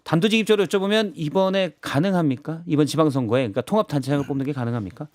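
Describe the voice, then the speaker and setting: A man's voice: fairly high pitch, racing, a little mellow, dry recording, clean studio sound. A middle-aged man hosting a radio news show, asking pointed questions and giving frequent short acknowledgements. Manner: probing, with frequent backchannel sounds. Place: radio studio. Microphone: studio microphone.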